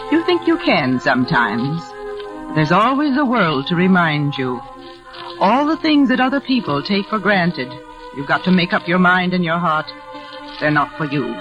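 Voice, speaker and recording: A woman's voice: lowish voice, dull audio. A woman playing a solemn, mysterious older cottage keeper, grave and knowing, in 1940s radio drama style. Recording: vintage radio broadcast, music behind.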